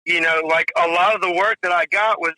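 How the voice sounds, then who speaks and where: A man's voice: high voice, fairly even intonation, fairly quick, sharp tone, dry recording, low-quality recording. A man in his forties, a drummer guest telling rambling stories in a casual interview, full of "you know" and "if you will". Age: 40s.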